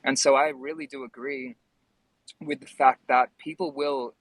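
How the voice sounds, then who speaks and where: A man's voice: on the high side, sing-song, a little slow, vocal fry, a bit bright, dry recording, low-quality recording. A man in a casual discussion, explaining his thoughts in a reflective way. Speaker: panelist in an online music discussion. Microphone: phone.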